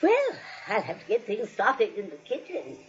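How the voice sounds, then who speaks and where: An actress playing a kindly, chatty elderly spinster with a guileless manner in a 1940s radio comedy. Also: female voice, medium pitch, wildly swooping pitch, normal speed. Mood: hospitable, fluttery. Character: kindly, fluttery elderly spinster aunt, innocently murderous. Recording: dull vintage radio recording.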